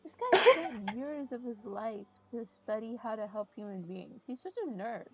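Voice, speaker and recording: A woman's voice: on the high side, expressive, moderate pace. A young woman chatting casually with a friend while playing a video game. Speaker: gamer commentating with a friend. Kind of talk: casual gameplay chat. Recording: poor microphone, faint background noise.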